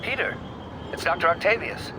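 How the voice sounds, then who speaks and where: A man's voice: sits high, normal speed, background noise, close to an everyday voice. An actor voicing a stern male scientist mentor with a clipped, reproachful manner.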